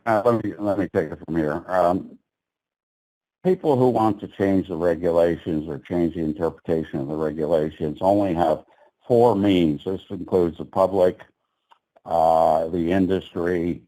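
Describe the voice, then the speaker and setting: A man with a very deep, mellow voice speaks at a public meeting. He is blunt and openly sarcastic about the agency. His pitch sits low throughout. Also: steady tone, unhurried, low-quality recording. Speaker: petitioner at regulatory meeting.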